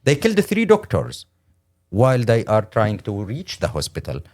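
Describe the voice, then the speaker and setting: A middle-aged man from Syria with a Syrian Arabic accent, speaking English as a second language in an earnest, halting, emphatic interview account. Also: lowish voice, huge pitch swings, a little mellow. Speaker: interview guest, lawyer and humanitarian.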